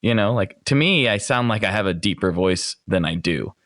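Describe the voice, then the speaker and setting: A man's voice: on the low side, lively intonation, normal speed, fairly bright tone, no echo, clean studio sound. A man hosting a podcast, chatting in a friendly way with a radio announcer's voice. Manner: chatty, friendly, thinks aloud. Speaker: podcast host. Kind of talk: casual interview.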